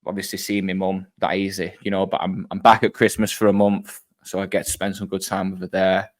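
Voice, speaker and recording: A man's voice: sits low, little pitch movement, a little fast. A young man with a Mancunian accent from near Wigan, chatting casually as a podcast host, swearing and laughing a lot. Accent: Mancunian, Wigan-tinged. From Manchester area, England. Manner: sweary, laughs often, lots of fillers. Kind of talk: casual chat.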